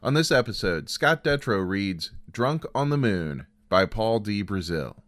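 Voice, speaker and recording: A man's voice: on the low side, sing-song, unhurried, a bit bright, faint music behind. A man hosting a story program, reading a scripted introduction. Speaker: host introducing stories.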